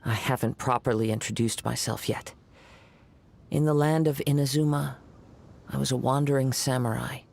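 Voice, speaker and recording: An actor voicing a calm, poetic young male wandering samurai in a video game, speaking formally and courteously. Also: medium pitch, creaky, slight background noise, lightly acted. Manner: formal, measured, gently courteous. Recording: game audio with faint music. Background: soft game music.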